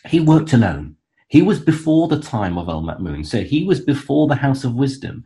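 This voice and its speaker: A man's voice: medium pitch, very animated, normal speed, dark tone. A man who is an author, appearing as a guest expert and explaining history in a conversational way, full of restarts and fillers. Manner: hesitant, many restarts and fillers, enthusiastic.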